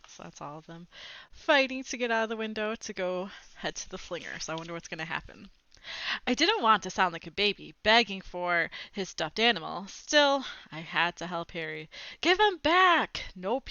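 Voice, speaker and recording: A woman reading a children's book aloud in an expressive, dramatizing way, with chatty asides. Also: medium pitch, no echo, muffled recording. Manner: animated, dramatizing dialogue, commenting to young listeners. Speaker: read-aloud storyteller.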